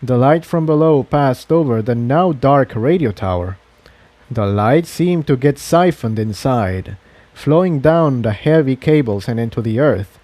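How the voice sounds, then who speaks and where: A male narrator reads adventure fiction in a dramatic audiobook style. His voice is mellow and mid-pitched, with animated intonation. The sound is dry and close. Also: a little slow, dry recording.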